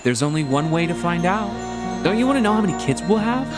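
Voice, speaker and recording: An ambitious young showman in love, eager and animated in a high-set voice. It is audio musical theatre, with clear music behind him. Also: quick pace. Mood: playful, curious.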